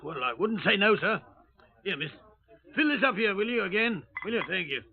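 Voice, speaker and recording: A man's voice: high voice, lively intonation, normal speed, vocal fry. A man playing a chatty, deferential Cockney taxi driver and police informant, rambling along in 1950s radio drama style. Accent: London Cockney. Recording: muffled narrowband old radio recording.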